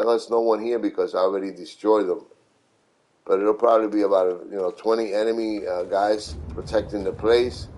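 Middle aged new guy, lowish voice, chatting thru a game on stream, takes his time and repeats stuff. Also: a little mellow, poor audio. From New York City, United States. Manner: unhurried, repeats himself, instructive. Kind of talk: casual live gameplay commentary.

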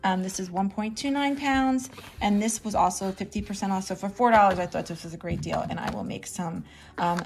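A woman's voice: medium pitch, a bit bright. A woman chatting casually through a grocery haul, steadily listing items and what each one cost. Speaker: vlogger.

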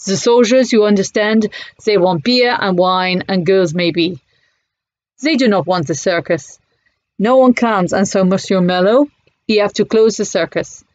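A woman's voice: medium pitch, dry recording, close to an everyday voice. A woman doing a mournful French cafe owner. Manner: mournful, halting broken English. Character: weary middle-aged French cafe owner man, broken English. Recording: muffled lo-fi audio.

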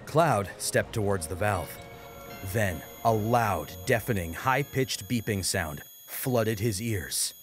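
A man's voice: on the low side, lively intonation, slow. A man narrating a scripted story dramatically as an audiobook reader, with music behind him.

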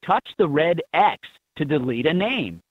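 A woman's voice: low voice, sing-song, a little slow, husky, dry recording, heavily acted. A woman voicing an encouraging instructor in a children's animal game, giving scripted instructions in slightly dull game audio. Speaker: educational game voice.

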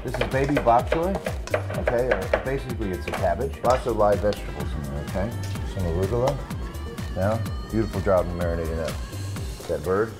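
A man's voice: fairly low pitch, a little fast, loud music behind the voice. A middle-aged man hosting a casual cooking demonstration in a restaurant kitchen, explaining each step as he cooks and laughing often. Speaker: chef host.

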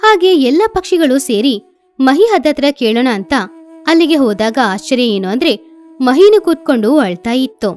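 A woman's voice: sits high, expressive, normal speed, faint music behind. A woman narrating a scripted children's story.

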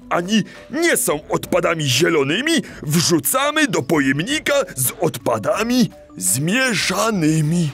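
A man's voice: fairly high pitch, creaky, a bit bright, heavily acted. A man playing a kindly animal teacher in a children's audio play, encouraging and didactic, with small stammers. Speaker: teacher leading a children's lesson.

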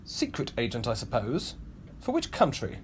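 A woman playing a breezy, wry, old-fashioned English gentleman in an amateur radio play. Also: a bass voice, wildly swooping pitch, moderate pace, full character voice. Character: flippant, bemused English gentleman, dryly chivalrous. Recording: homemade recording with noticeable noise.

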